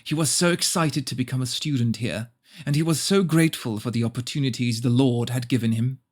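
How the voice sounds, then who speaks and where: A man's voice: medium pitch, fairly quick, close to an everyday voice. A man voicing a prim, pious, self-satisfied mother who narrates her story in a preachy, cloying way. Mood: earnest, preachy.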